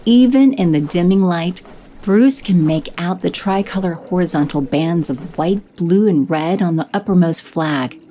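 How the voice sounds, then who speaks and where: A woman giving steady scripted narration for an audio drama. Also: fairly low pitch, measured pace. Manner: steady, descriptive.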